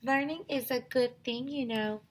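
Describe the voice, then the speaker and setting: A woman's voice: high-pitched, a little slow, pitched above the performer's own voice. A woman voicing a prim, bossy know-it-all schoolgirl with a prissy, preachy manner in a storybook. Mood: smug.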